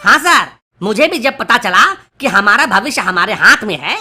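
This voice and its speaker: A woman's voice: medium pitch, expressive, moderate pace, pushed and bright, husky, full character voice. A woman voicing a brash, mocking schoolboy prankster in a comedy cartoon. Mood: brash, smug. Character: cheeky schoolboy prankster who teases his teacher. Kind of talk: Hindi comedy cartoon dialogue.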